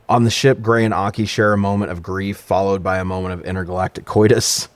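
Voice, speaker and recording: A man's voice: fairly low pitch, no room sound. Profane, sarcastic American man recapping casually. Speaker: podcast co-host. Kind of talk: casual comedic movie recap.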